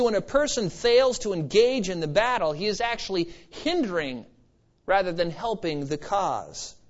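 A slightly muffled, lo-fi recording of a man preaching. His voice sits high for a man. He exhorts emphatically, mixing scripture teaching with conversational asides. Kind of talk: expository sermon. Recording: slightly dull, lo-fi sermon recording.

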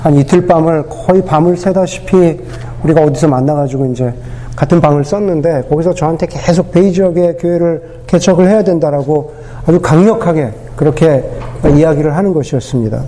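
A man preaching a sermon as pastor, his voice hoarse from a cold, talking unhurriedly with many pauses and fillers. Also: a little high, warm tone.

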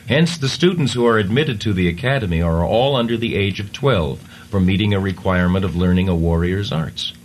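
A man with a fairly low voice reads a stiff, formal text aloud in a deadpan manner. He chuckles at the wording between lines. A faint hiss lies under the old radio tape. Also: brisk, hardly any pauses. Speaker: comedy radio performer. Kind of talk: improvised radio comedy, reading aloud.

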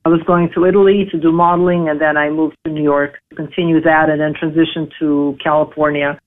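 A woman in her forties from Serbia, speaking English, telling her life story in an interview with halting, filler-heavy speech. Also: deep voice, level tone. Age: 40s. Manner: halting, many fillers and restarts. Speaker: interview guest. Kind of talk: casual interview, personal story. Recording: tinny phone line.

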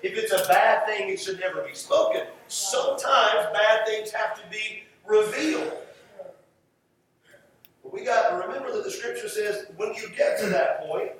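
An American man preaching a sermon in an emphatic style, with rhetorical repetition and deliberate pauses. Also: high voice, a bit bright. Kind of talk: sermon to a congregation. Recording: echoey, distant mic, faint background noise.

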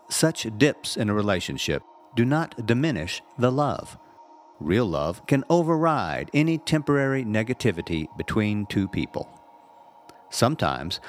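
A man, a reverend, reading a spiritual essay aloud in a measured, earnest and unhurried way. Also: on the low side, a little hiss or hum.

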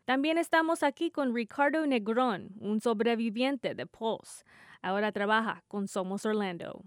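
A woman's voice: a little high, measured pace, no room sound, studio quality. Tex-Mex Spanish woman, public radio host. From Texas, US. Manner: scripted, careful, apologetic about her Spanish. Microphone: studio microphone.

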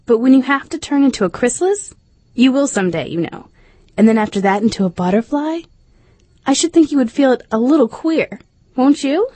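A woman's voice: high voice, expressive, fairly quick, dark tone, no echo, pitched above the performer's own voice. A woman voicing a polite, hesitant and earnest young girl, the heroine of a storybook. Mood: polite, flustered.

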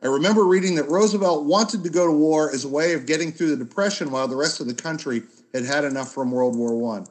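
A man's voice: a little high, quick pace, few pauses, rough recording. A man who teaches history, speaking informally as the moderator of an academic discussion.

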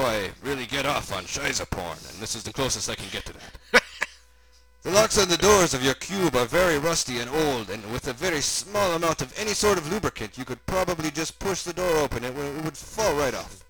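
A man's voice: a little high, animated, fairly quick, pitched above the performer's own voice, lightly acted, low-quality recording. A man voicing an eccentric, sinister German mad scientist with a mock German accent and theatrical, over-enunciated delivery. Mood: gloating, sinister.

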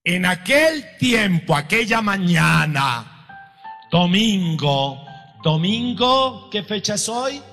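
A man preaching a sermon to a congregation, speaking slowly and building to fervent, shouted repetition. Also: sits high, sing-song. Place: church hall with congregation. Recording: long hall reverb with faint music.

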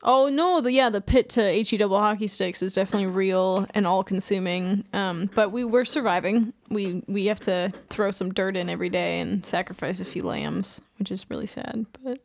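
Young woman with a creaky voice. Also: medium pitch, moderate pace, few pauses. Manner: joking, deadpan sarcastic. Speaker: podcast co-host. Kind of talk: casual chat.

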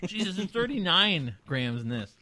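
A man's voice: high voice, sing-song, normal speed, bright, forceful tone. A man co-hosting a podcast, goofy and playful, talking while he eats. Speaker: podcast co-host. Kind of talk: casual chat.

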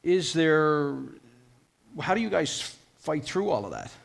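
A man moderating a conference panel, posing a long, animated question to the panelists. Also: fairly high pitch, takes it slowly, creaky. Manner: animated, builds up his question at length.

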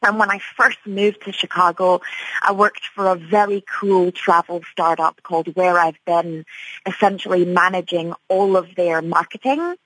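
A woman's voice: on the low side, steady tone, moderate pace, a bit bright, no echo, low-quality recording. A woman from Scotland, answering as a guest in a radio interview in an upbeat, chatty way with many fillers. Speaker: tech company CEO, guest.

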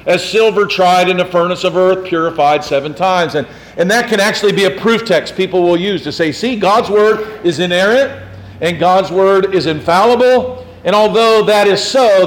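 A man's voice: sits high, poor audio. A man preaching a sermon as pastor, in a conversational way, asking the congregation questions and teasing them.

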